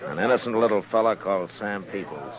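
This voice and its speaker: An actor playing a stern, unflinching American marshal in a radio western, firm, terse and commanding. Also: male voice, lowish voice, animated, brisk, over a noisy crowd, natural voice. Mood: wary, firm. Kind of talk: 1950s radio western acting. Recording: dull old-time radio recording.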